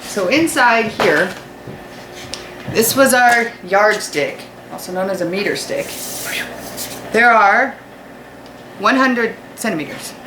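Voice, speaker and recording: A woman's voice: medium pitch, lively intonation, slow, long pauses, background noise. An American woman teaching children in a read-aloud lesson, explaining informally and putting questions to her young listeners. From United States. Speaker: fifth-grade teacher.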